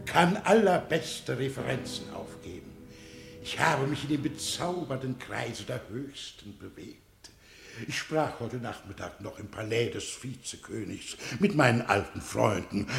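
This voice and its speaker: In a roomy space with music behind, an accused man pleading his standing. His voice is gravelly and self-important, in the theatrical manner of radio drama. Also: medium pitch, expressive, measured pace. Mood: boastful. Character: accused man in court boasting of high-society connections. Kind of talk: theatrical radio play acting.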